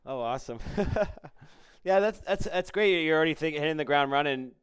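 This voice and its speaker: A man hosting a casual interview, encouraging his guest and feeling his way through questions haltingly. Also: fairly high pitch, clear, resonant tone. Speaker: podcast host and interviewer.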